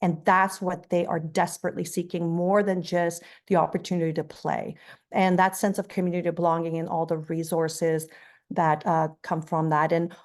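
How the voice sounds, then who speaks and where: A woman's voice: lowish voice, flat delivery, normal speed. A woman from Afghanistan, a non-profit executive director, speaking earnestly and with passion on a video call panel. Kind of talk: panel introduction, personal story.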